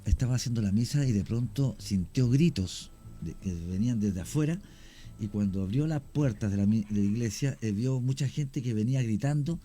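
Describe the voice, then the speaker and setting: A middle-aged man with a Chilean accent, a guest on a radio show, telling stories slowly with many pauses and restarts. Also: mid-range voice, warm tone. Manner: unhurried, many fillers and restarts, anecdotal. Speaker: guest storyteller. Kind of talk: radio conversation, recounting cases.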